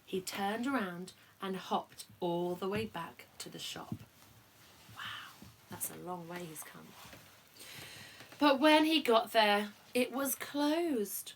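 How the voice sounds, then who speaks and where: A woman reading a children's picture book aloud to a young child, expressive, dramatic and encouraging. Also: mid-range voice, takes it slowly, a little hiss or hum.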